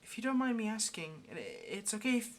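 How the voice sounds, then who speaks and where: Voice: medium pitch, big pitch swings, natural voice. Lonely, hesitant demon sealed away, played by an actor. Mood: grateful, gentle. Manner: hesitant, halting, coaxing. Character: lonely, pleading sealed demon, clingy and affectionate. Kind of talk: ASMR roleplay, intimate one-sided conversation.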